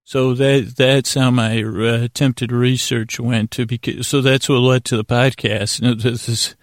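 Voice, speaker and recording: A man hosting a sleep podcast in a casual, meandering monologue, halting and stammering with self-deprecating asides. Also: mid-range voice, flat delivery, no echo, studio quality. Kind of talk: rambling, casual bedtime monologue.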